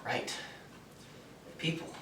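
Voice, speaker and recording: An actor playing a shy, self-doubting man in a stage play, tentative and stammering, with soft, awkward delivery. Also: drawn-out words. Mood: subdued. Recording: echoey stage, distant mic, faint noise.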